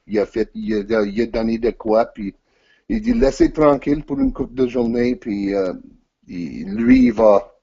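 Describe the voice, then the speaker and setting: A man whose first language is English, speaking French haltingly in an interview over an audio call and searching for words with many restarts. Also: on the low side, creaky, dark tone, highs cut off. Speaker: interview guest, team manager.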